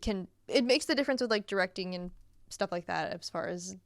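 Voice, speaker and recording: Female podcast co-host. Clean, smooth tone, informal and supportive delivery, dry close recording. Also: mid-range voice, fairly quick, no echo. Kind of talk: casual chat.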